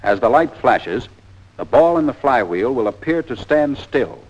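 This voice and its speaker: A man's voice: mid-range voice, big pitch swings, unhurried. An American man narrating a scripted 1940s training film in a plain-spoken, methodical, folksy instructor's manner, on an old film soundtrack. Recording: dull, band-limited 1940s film soundtrack.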